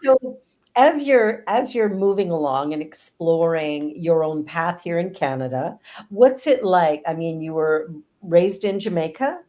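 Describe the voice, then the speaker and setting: A middle-aged woman hosting a casual interview chat, effusive and quick to laugh. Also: lowish voice, lively intonation, warm tone. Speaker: host and spiritual director.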